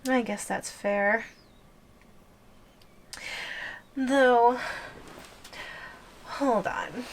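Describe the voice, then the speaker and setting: Woman acting a high-voiced, teasing, possessive giantess girlfriend. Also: a little hiss or hum. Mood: playful. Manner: teasing, giggly, languid delivery. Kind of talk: ASMR audio roleplay.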